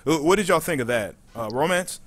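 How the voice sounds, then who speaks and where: A man's voice: a little high, animated, fairly quick, no echo. A man hosting a casual gaming interview, putting questions to his guests. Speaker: interview host.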